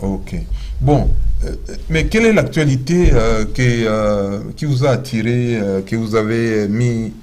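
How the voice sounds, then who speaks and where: A man hosting an interview in speech, at an ordinary middle pitch. He speaks haltingly, filling gaps with long hesitations and restarting his phrases as he works toward each question. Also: darkish tone. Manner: halting, many "euh" pauses and repeated words. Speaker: interviewer and show host.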